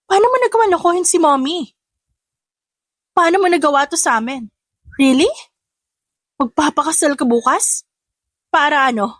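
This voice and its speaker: A high-pitched, haughty young heiress as acted out by a woman narrator in an audio drama story. She is spoiled and sharp-tongued, hitting her words with sarcastic emphasis. The sound is close and dry. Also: dry recording.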